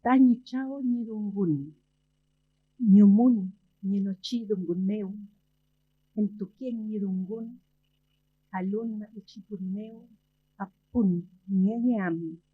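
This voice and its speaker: A woman poet from Chile, talking about her father and then reading her poems in a slow, measured way with long pauses, at a library event. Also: mid-range voice, husky, narrow, tinny audio. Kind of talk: slow poetry reading and talk.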